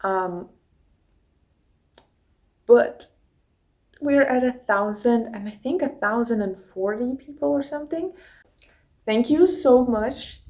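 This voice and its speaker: Slow-talking young Russian-accented woman. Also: fairly high pitch, darkish tone. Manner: unhurried, conversational, answers questions from notes. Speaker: YouTuber. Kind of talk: casual get-to-know-me chat. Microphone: phone.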